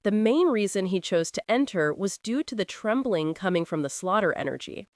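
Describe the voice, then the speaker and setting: Female audiobook narrator for epic fantasy, lively intonation, dramatic delivery, dry studio-style sound. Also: mid-range voice, moderate pace, no room sound.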